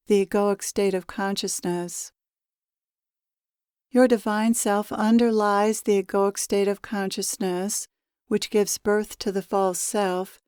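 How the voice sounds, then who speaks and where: A woman leading a guided meditation as a spiritual teacher, with measured, deliberate phrasing. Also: mid-range voice, warm tone, smooth, no room sound, studio quality. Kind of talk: guided meditation, spoken as a channeled message.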